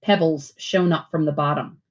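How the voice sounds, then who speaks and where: A woman reading a children's picture book aloud like a teacher, with clear phrasing and expressive, instructive delivery. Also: low-pitched, normal speed. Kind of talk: storybook read-aloud for children.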